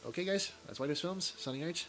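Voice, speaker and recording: A man giving an informal channel update as a video host, speaking in a halting, hesitant way and often repeating his phrases. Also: a little high, lively intonation, fairly bright tone. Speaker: YouTube creator and host. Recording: music playing behind the voice. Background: music.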